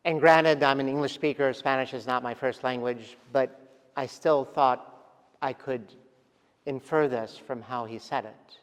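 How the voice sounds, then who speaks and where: Reflective pastor in a reverberant church. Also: male voice, mid-range voice, fairly even intonation. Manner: gentle, reflective preaching with pauses and soft trailing endings. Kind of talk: sermon. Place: large church sanctuary.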